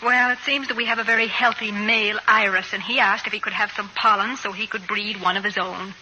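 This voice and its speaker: A woman's voice: mid-range voice, fairly even intonation, brisk, bright, forceful tone, poor audio. A woman acting in a 1940s radio comedy as a harried suburban wife and mother. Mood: dryly amused. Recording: hissy old 1940s radio recording.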